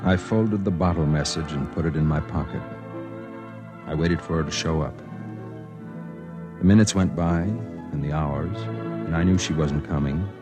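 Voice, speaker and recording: A brooding, principled man with a very deep voice in a vintage 1950s radio drama. He speaks in a quiet, measured, earnest way, as if narrating his own story. Music runs clearly behind him on the old recording. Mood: somber narration.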